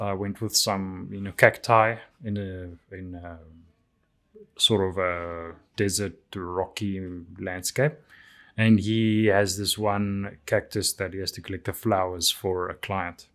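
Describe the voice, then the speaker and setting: A man's voice: on the low side, a bit bright. A man whose first language is Afrikaans casually talks through his drawings, with many ums and restarts. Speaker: artist reviewing his drawings. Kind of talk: casual narration over artwork.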